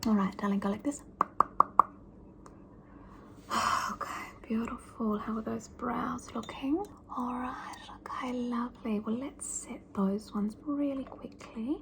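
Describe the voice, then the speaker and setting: A woman doing a whispered ASMR roleplay as a fussy, pampering mother who calls her child darling and scolds her gently. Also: on the high side, gravelly, heavy background noise. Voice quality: soft whisper. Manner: doting yet scolding, lingering and drawn-out.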